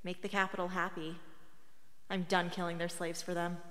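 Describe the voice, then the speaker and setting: A woman's voice: on the low side, level tone, moderate pace, pushed and bright. A woman acting a defiant teenage rebel girl, reverberant. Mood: defiant, weary. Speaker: actor in a radio play. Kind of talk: radio drama acting. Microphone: close studio broadcast microphone. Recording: long hall reverb.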